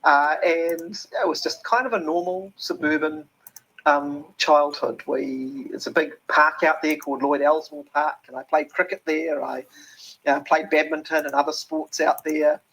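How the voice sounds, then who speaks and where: A man's voice: fairly high pitch, steady tone, unhurried, fairly bright tone, poor audio. A man with a New Zealand accent, an interview guest talking earnestly with many ums and restarts. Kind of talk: reflective interview talk.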